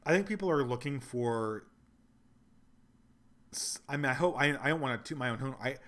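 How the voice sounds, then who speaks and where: A man's voice: mid-range voice, normal speed, fairly bright tone. A man hosting a casual livestream Q&A, losing his voice, rambling earnestly and thinking aloud as he answers viewers. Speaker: livestream host reading donations.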